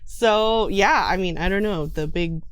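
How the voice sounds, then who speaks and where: A woman with a slightly low voice talks as a guest player in a casual interview. She is informal, giggly and a little self-deprecating. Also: unhurried, clean tone, no room sound. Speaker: guest, tabletop game player. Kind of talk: casual chat.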